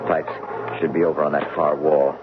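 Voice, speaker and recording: An actor playing a bold, curious geologist who gives terse orders in a radio mystery drama. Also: male voice, very deep voice, little pitch movement, normal speed, music in the background. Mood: inquisitive. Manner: commanding, giving terse instructions. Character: bold, curious male geologist leading an underground expedition. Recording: dull, noisy old radio broadcast recording.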